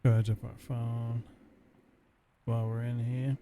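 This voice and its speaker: Mellow, laid-back man commentating a game. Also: mid-range voice, completely flat delivery, takes it slowly, slight background noise. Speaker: let's play gamer commentating. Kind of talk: casual gameplay commentary.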